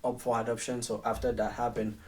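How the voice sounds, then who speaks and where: A man in his twenties from Haiti speaks as a podcast guest, telling his story in a plain, matter-of-fact way. His pitch barely moves, and he sounds a little distant from the microphone. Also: flat delivery, fairly quick, a little mellow. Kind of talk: casual interview storytelling.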